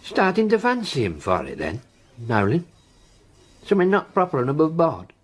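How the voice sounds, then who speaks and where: Lively, bantering English police colleague. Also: male voice, on the high side, normal speed, breathy edge, exaggerated voice. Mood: wry, amused. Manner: wry, bantering. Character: wry, jocular male police colleague. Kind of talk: naturalistic radio drama acting.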